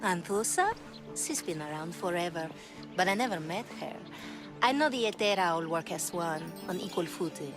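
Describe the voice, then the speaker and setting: Low-voiced, flirtatious streetwise woman played by an actress. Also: lively intonation, moderate pace, over music, natural voice. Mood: knowing, confiding. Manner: sly, teasing. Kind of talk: video game voice acting.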